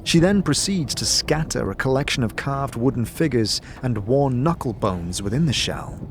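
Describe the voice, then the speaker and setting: Male narrator for scripted fantasy drama, mid pitch, lively contours. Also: normal speed, slight background noise.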